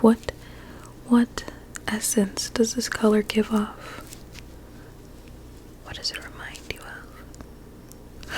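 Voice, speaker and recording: A woman's voice: medium pitch, close to an everyday voice, low-quality recording. Whispering sinister woman doctor acted by a woman. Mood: probing, clinical. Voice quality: soft breathy whisper. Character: sinister, vain female blueberry doctor, softly menacing. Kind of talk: ASMR medical roleplay.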